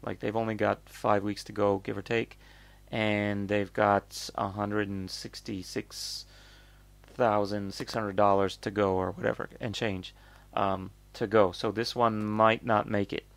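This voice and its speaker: A man's voice: fairly low pitch, flat delivery, unhurried, gravelly, a bit bright, no echo. A man giving casual, off-the-cuff commentary as a review host, hesitant and thinking aloud as he hedges his points. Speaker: host reviewing crowdfunding projects.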